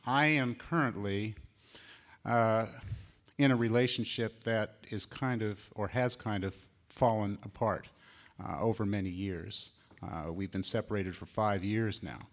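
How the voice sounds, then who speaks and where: A man's voice: lowish voice, slow. A man from California sharing at a seminar, earnest and reflective, with many uhs. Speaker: seminar participant.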